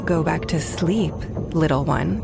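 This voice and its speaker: A woman announcer reading scripted promotional narration in a hushed, eerie manner. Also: deep voice, unhurried, creaky. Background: prominent music.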